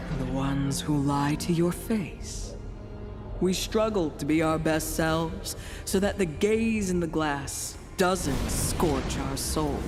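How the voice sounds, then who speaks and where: A dramatic mystic woman from a fantasy video game, speaking slowly and portentously with a wistful, playful streak. Her theatrical narration drifts between mystery and chatty asides, with music playing clearly behind her. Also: low voice.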